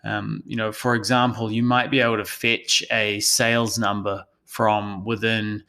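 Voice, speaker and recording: A man with a New Zealand accent giving a prepared webinar presentation as a consultant, in a measured, explanatory, professional manner. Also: fairly low pitch, little pitch movement, pushed and bright, smooth. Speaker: analytics consultant presenting a session.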